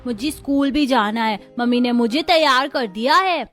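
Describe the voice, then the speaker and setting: A woman's voice: on the high side, normal speed, fairly bright tone, soft background music, natural voice. A woman voicing a stubborn, demanding little schoolboy in a cartoon story. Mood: impatient. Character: stubborn little schoolboy who pesters for his food. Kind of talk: Hindi cartoon story dialogue.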